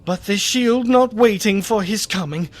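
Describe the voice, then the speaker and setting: An actor playing a horrified gentleman narrator in a gothic radio play, telling the tale with dramatic dread. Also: sits high, measured pace. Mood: tense reading. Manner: dramatic, dread-filled storytelling.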